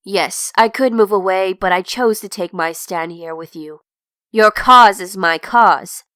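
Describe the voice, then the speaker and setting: Young female zealot played by a woman, crisp and lecturing, militant conviction, comic dub, close dry sound. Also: mid-range voice, a little slow, a bit bright, no echo, natural voice. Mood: preachy, fervent. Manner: emphatic, lecturing. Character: militant young blonde revolutionary, zealous and preachy.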